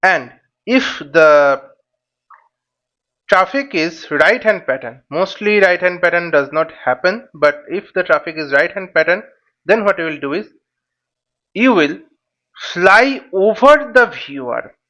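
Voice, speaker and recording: A high-voiced man explains things slowly, like an instructor at a whiteboard. He works step by step, putting questions and then answering them. The recording is slightly dull and lo-fi. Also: animated, bright, forceful tone. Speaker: flight simulation instructor. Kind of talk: informal whiteboard tutorial.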